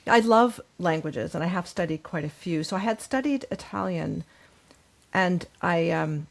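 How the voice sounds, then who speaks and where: A woman's voice: on the low side, lively intonation, a little slow, vocal fry. A woman hosting a conversational interview, chatting in a friendly, attentive way. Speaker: podcast host.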